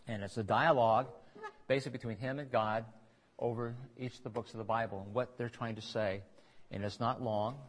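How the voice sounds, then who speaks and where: A man delivers a sermon in an ordinary mid-range voice. He speaks conversationally, breaking off into asides and restarting his phrases. The talk sounds like a church service recorded on tape. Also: mid-range voice. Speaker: preacher.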